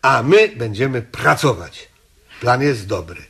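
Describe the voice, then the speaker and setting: Husky stubborn husband. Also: male voice, mid-range voice, lively intonation, unhurried, a bit bright, heavily acted. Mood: firm, lecturing. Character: stubborn, thrifty husband running a new wholesale business. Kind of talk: radio drama acting, domestic comedy.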